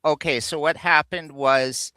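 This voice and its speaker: Very bright, crisp-voiced man chatting. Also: a little high, fairly even intonation, unhurried, no echo, studio quality. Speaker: co-host of a live geek countdown show. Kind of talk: casual chat, enthusiastic explaining of film trivia.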